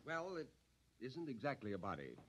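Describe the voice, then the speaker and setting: Husky, wildly animated colonel. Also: male voice, medium pitch. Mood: desperate pleading. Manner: agitated, halting, intense. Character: overwrought upright army colonel. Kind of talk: 1950s radio horror acting.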